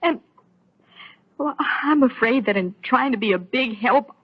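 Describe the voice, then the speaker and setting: An actress playing an anxious, conscience-stricken wife in an old radio soap opera, urgent and fretful. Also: female voice, a little high, animated, a little slow. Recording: muffled old radio recording.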